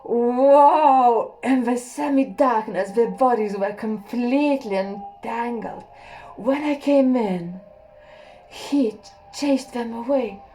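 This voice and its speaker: A woman reading a first-person story aloud as an audiobook, soft and unhurried, sometimes sinking to a near whisper. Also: on the high side, soft background music. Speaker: audiobook reader.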